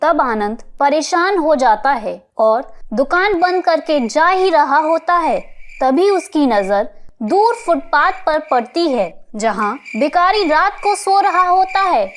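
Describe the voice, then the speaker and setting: A woman's voice: high-pitched, lively intonation, takes it slowly, slight background noise. A woman narrator reading a scripted children's moral story.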